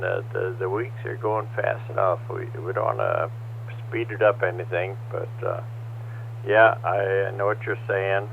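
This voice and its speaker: An older man chatting over a ham radio net, hoarse from a cold, talking haltingly with many fillers. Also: sits low. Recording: tinny two-way radio transmission with faint hum.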